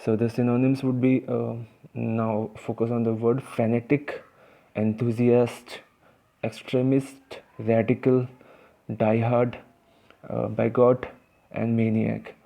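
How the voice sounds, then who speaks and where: A man's voice: medium pitch, flat delivery, slow, creaky, dark tone, poor audio. A man with an Indian accent teaching English vocabulary in a casual, hesitant way, with many fillers and restarts. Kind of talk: informal vocabulary lesson.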